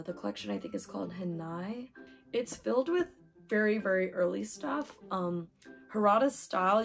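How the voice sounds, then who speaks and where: A young woman with a hoarse voice from talking too much, chatting excitedly while unboxing manga. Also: medium pitch. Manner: chatty, excitable, lots of fillers. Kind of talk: casual unboxing chat.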